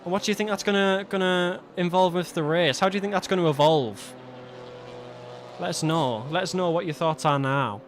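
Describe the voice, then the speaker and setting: A British man with a slightly high voice commentates on an online sim race. He is colloquial and matey, slipping between race calls and jokey banter. There is faint car noise on the stream. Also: normal speed. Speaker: esports livestream race commentator. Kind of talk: live sim racing commentary with casual banter. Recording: noisy stream with car engine sound.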